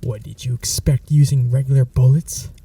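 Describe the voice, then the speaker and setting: A man voicing a smug, teasing male vampire in an ASMR audio roleplay, talking in a soft half-whisper that slides into mockery. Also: medium pitch, steady tone, moderate pace, breathy edge, distant mic. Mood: cold.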